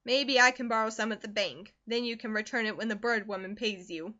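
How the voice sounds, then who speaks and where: A woman reads aloud and puts on a harsh middle-aged farm mother who scolds her daughter. She is loud, sharp and unbending, with a high, bright tone. The recording is dull and lo-fi. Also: steady tone, fairly quick. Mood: scolding.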